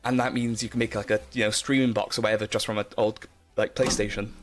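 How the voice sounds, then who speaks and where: A man's voice: on the low side, level tone, quick pace, faint music behind. Rambling young man streaming. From Wales. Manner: rambling, chatty, lots of fillers and restarts, laughs often. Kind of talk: casual live stream chat.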